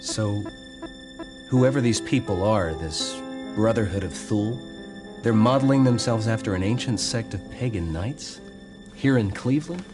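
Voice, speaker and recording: An actor playing a skeptical 1940s American government investigator in a video game, asking direct questions with dry sarcasm. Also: male voice, lowish voice, animated, unhurried, over music. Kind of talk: video game cutscene dialogue.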